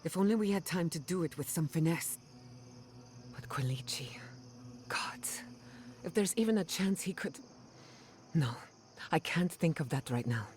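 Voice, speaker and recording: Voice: mid-range voice, expressive, a little slow, pauses often, darkish tone, natural voice. An actor playing a wry, conflicted adventuring companion in a fantasy game, speaking frankly. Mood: torn, worried. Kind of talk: fantasy game cutscene acting.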